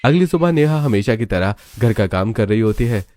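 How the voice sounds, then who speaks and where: A man's voice: fairly low pitch, lively intonation, normal speed, mellow. A man narrating a scripted family cartoon story.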